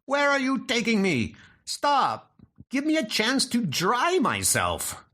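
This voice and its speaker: A man's voice: very high-pitched, wildly swooping pitch, takes it slowly, pushed and bright, pitched above the performer's own voice, heavily acted. A man voicing a haughty, mocking trickster god villain in a comic book dub, with a theatrical, taunting delivery. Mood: panicked.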